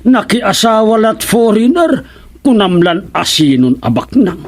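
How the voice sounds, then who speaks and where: A man with an Ilocano accent playing an animated, nosy village councilman in a radio comedy drama. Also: high voice, unhurried, slight background noise, full character voice. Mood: grumbling.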